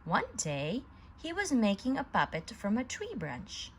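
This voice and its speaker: A woman reading a children's story aloud in an expressive, deliberate storyteller's manner. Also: a little high, a little hiss or hum.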